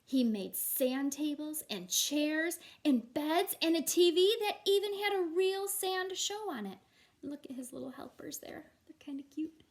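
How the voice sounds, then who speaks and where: High-voiced, expressive woman reading to children. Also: measured pace. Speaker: teacher reading aloud to class. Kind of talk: expressive children's read-aloud with casual asides.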